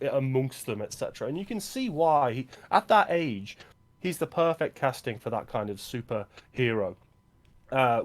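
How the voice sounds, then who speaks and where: A man co-hosting a film podcast, talking with enthusiasm and stammering on his restarts. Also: mid-range voice. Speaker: podcast co-host. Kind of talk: casual film discussion.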